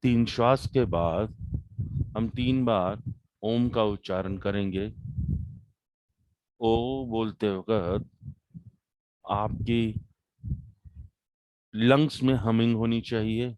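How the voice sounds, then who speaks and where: A man with an Indian accent leading a guided meditation, calm and measured, with deliberate pauses between short phrases. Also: on the low side, no echo, highs cut off.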